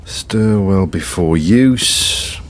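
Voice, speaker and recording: A man's voice: sits low, animated, extremely slow, heavily acted. A man playing a stubborn, troubled investigator in a naturalistic radio drama, speaking hesitantly and half to himself. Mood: preoccupied. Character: stubborn, troubled male investigator, a doctor of memory. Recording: noisy location sound with vehicle ambience.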